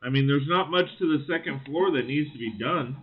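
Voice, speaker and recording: A man hosting a casual live stream, thinking aloud with lots of fillers and greeting viewers, his voice slightly raspy. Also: mid-range voice, brisk, phone-quality sound. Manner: friendly greetings to chat viewers. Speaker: livestream host. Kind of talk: casual live-stream chat while building.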